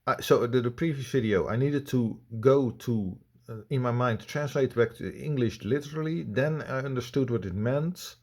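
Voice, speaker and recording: A man with a Dutch accent giving unscripted critical commentary in a halting, blunt, complaining way. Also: medium pitch, a little mellow. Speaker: video reviewer.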